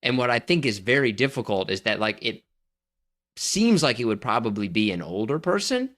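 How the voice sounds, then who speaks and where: A man's voice: medium pitch, big pitch swings, moderate pace, fairly bright tone. A man co-hosting a podcast, chatting casually and giving blunt, emphatic opinions. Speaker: podcast co-host. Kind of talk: casual chat.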